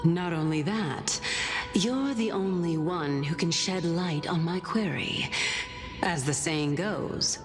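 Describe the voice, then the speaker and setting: A woman's voice: fairly low pitch, slow pace. A woman playing a wise, cryptic Titan of reason in a video game, lofty and wryly amused. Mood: wry, serene. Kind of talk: dramatic game voice acting. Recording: long reverb, music underneath.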